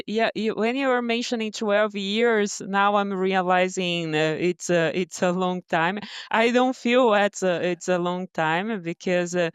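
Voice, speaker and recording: A woman from Brazil with a Brazilian accent, answering interview questions with many pauses, fillers and restarts. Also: medium pitch, smooth, no room sound, studio quality. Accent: Brazilian Portuguese. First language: Portuguese. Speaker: guest, brand partnerships director.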